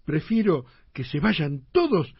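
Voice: mid-range voice, sing-song, measured pace, gravelly, breathy edge, dry recording, muffled recording, exaggerated voice. An actor playing a cocky, stubborn tenement man in a comic radio play, slangy and emphatic, with an Argentine accent. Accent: Argentine, Buenos Aires slang.

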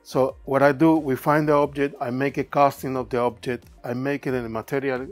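A man's voice: medium pitch, flat delivery, no echo, soft background music. Cuban-accented man musing on his art. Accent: Cuban Spanish. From Cuba. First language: Spanish. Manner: thoughtful, with halting non-native grammar. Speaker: artist. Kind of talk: reflective talk about his art. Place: cluttered indoor studio workshop.

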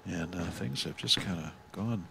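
A man doing live bowling commentary, giving measured analysis with excited calls on shots. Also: low voice, gravelly, background noise. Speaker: sports commentator.

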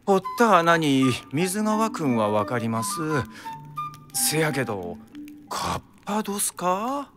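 An actor playing a courteous, wry official with a Kyoto accent in a radio drama. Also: male voice, fairly high pitch, sing-song. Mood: polite, wry. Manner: polite, teasing, unhurried. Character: courteous, wry male official in Taisho Tokyo. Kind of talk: Japanese radio drama acting. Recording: clear music behind the voice.

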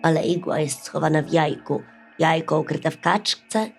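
A woman's voice: sits low, normal speed. A woman voicing a shaggy old witch, grave and knowing, in a children's fairy tale. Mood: grave, foreboding. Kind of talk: bedtime fairy-tale audio play. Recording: music under the voice.

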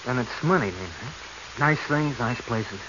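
An actor playing a lovesick, jealous treasure hunter in a 1940s radio drama, earnest and urgent. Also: male voice, mid-range voice, animated, measured pace, natural voice. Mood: dismayed, urgent. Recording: noisy, muffled 1940s radio recording.

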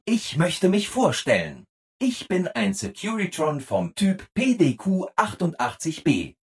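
Over-eager robot assistant, video game character, hugely swooping intonation, very husky rough-edged tone, relentlessly cheerful. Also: male voice, fairly high pitch, exaggerated voice. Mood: chipper. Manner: chirpy, over-eager, exaggeratedly obliging.

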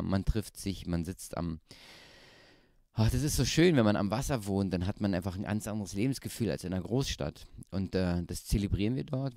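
A man's voice: low voice, dry recording, studio quality. A man in his thirties, an actor answering interview questions casually with many fillers and restarts, holding a handheld mic in a small indoor room. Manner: relaxed, chatty, frequent fillers and restarts. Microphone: handheld microphone.

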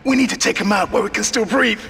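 A man's voice: high voice, fast, gravelly, over music. A man playing a weakened, grave survivor in a video game, speaking tersely as he struggles to breathe. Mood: strained, grave. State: struggling to breathe in foul air. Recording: long reverb, faint background noise.

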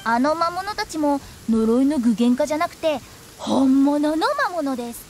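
A woman's voice: high voice, normal speed, a little mellow, a little hiss or hum, lightly acted. A woman playing a cheeky, theatrical young woman who loves pranks, in anime game style. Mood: teasing. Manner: playful, theatrical, teasing.

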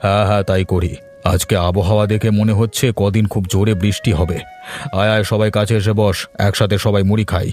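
A man's voice: lowish voice, flat delivery, a little fast, a little mellow, soft background music, lightly acted. A man voicing a grumbling older head of a village household in a cartoon. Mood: settled. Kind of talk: cartoon voice acting.

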